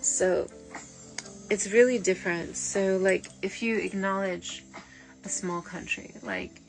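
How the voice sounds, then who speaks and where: A woman's voice: mid-range voice, slow, gravelly, low-quality recording. A woman talking as a spiritual medium in a rambling, digressive late-night stream, full of fillers and restarts, with faint music behind her. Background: faint early nineties music.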